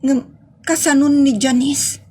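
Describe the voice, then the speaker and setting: A woman's voice: high voice, fairly even intonation, measured pace, darkish tone. A woman acting a proud but anxious young woman in love in a radio drama. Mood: hurt, worried.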